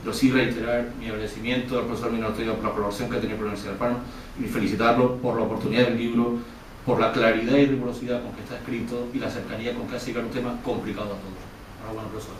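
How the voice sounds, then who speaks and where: Guy giving formal book presentation speech, talks super fast, really echoey room. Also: mid-range voice, level tone, a crowd in the background. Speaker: panel speaker presenting a book. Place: event room, distant mic. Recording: very echoey hall, distant mic, faint noise.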